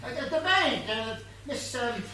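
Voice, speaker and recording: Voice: mid-range voice, expressive, a little slow, bright, forceful tone. An actor playing a muddled, literal-minded gardener in a comic stage play, stammering and earnestly deferential as the character is questioned. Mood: flustered. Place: stage of a small theatre. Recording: distant echoey theatre recording, faint noise.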